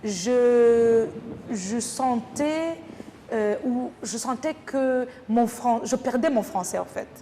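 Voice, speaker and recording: A woman's voice: a little high, slow, dark tone. A woman from Rwanda with a Rwandan French accent, answering interview questions reflectively, with hesitant phrasing. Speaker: interview guest, French language teacher. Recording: noisy, echoing hall sound with long reverb.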